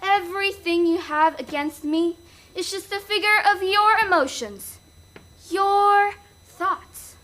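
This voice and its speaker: A young woman acting in a stage play as a cold, accusing figure who taunts with deliberate, pointed words. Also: very high voice, sharp tone, full character voice. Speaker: student actor in a one-act play.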